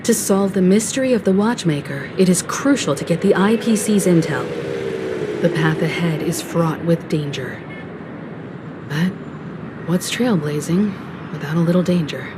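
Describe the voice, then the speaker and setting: An actress playing a poised, gracious starship navigator in a video game, composed and articulate. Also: female voice, lowish voice, expressive, unhurried, noisy background. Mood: thoughtful, resolute. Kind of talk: video game cutscene acting.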